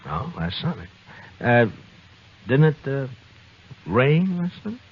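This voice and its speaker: A man's voice: medium pitch, big pitch swings, slow pace, breathy edge, full character voice. A man with an American accent acting a weary, steadfast, terse frontier marshal who also narrates, in a 1950s radio western. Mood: dry, businesslike. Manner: terse, understated. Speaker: lead actor and first-person narrator. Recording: muffled old radio recording, music behind.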